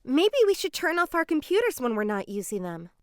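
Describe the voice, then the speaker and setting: A woman giving an expressive, explanatory dramatized reading of an educational children's story. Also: high-pitched, fairly quick, no room sound, studio quality. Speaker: children's book reader.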